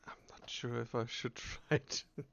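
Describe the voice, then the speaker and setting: A man narrating a video game playthrough, reading the text aloud with casual asides and halting self-corrections. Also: mid-range voice, steady tone, creaky, fairly bright tone, in a whisper, lo-fi sound.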